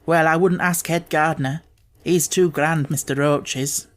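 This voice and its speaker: A man's voice: fairly high pitch, fairly even intonation, normal speed, natural voice. A man voicing a cheerful, plain-spoken young Yorkshire housemaid in an audiobook, chatting in broad, homely dialect. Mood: frank, helpful.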